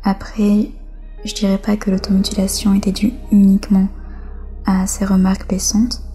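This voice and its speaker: A woman from France giving personal testimony as an interview guest, speaking reflectively with hesitant pauses. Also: medium pitch, completely flat delivery, dark tone, faint music behind.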